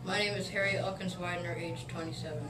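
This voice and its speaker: A woman reciting a historical role-play in an even delivery. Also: lowish voice, quick pace. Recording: echoey room, far from the mic, loud noise.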